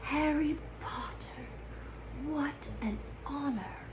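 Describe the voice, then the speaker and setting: Woman playing an awed old barman, higher-pitched. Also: slow, a little hiss or hum, rough recording. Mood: awed, reverent.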